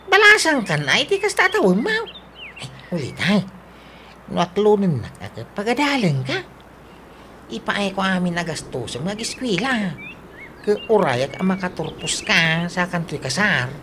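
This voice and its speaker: A woman's voice: mid-range voice, very animated, unhurried. Woman acting swooping, pushy lovestruck Filipino man, noisy recording. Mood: wheedling. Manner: wheedling, exclamatory. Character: pushy, lovestruck man courting a much younger girl. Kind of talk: comedic Ilocano radio drama acting. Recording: clearly noisy radio drama recording.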